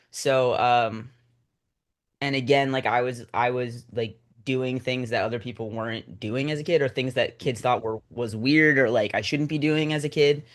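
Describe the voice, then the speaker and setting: A man talking casually and reflectively as a creative guest in an interview, in an informal way with trailing phrases. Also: mid-range voice. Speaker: screenwriter and director guest.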